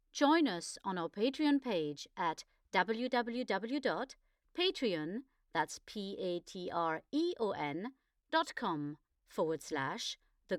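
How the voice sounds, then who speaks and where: A woman reading scripted verse and podcast announcements in a measured, deliberate way. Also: medium pitch, dry recording, studio quality. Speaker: podcast presenter and poetry reader. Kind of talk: poetry recital and scripted announcements.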